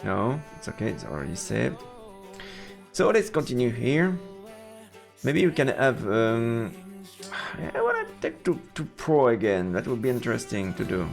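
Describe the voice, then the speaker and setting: A male streamer comments on a game and reads its text aloud with playful asides. His voice is creaky and his pitch jumps around freely. Faint game music runs underneath. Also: very animated, a little slow. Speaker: let's play streamer. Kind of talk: live game commentary, reading narration aloud.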